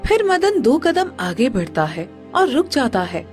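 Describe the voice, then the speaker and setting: A woman's voice: high voice, very animated, a little slow, a little mellow, soft background music. A woman giving scripted narration for a moral story.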